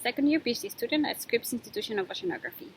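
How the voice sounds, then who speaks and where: A young woman from Ecuador, a graduate student, explaining her research in a relaxed, thoughtful way, pausing often with fillers. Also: on the high side, slight background noise, low-quality recording. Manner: thoughtful, with frequent pauses and fillers. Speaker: PhD student. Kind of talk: informal talk about her research.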